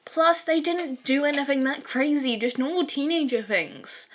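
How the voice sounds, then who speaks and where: A woman with a fairly high voice narrates a piece of fan fiction. She reads from a script, telling the story as its narrator. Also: moderate pace.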